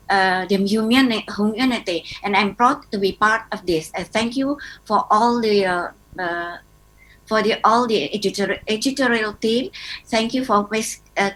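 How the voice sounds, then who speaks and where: A woman giving a thank-you speech, halting often as she searches for her words. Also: medium pitch, fairly bright tone, poor audio. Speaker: guest speaker at a book launch.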